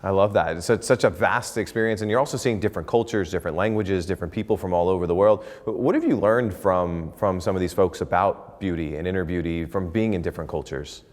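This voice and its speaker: A man's voice: low-pitched, fast talker, few pauses, big-room sound. A man hosting an interview show, upbeat and enthusiastic. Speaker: talk show host.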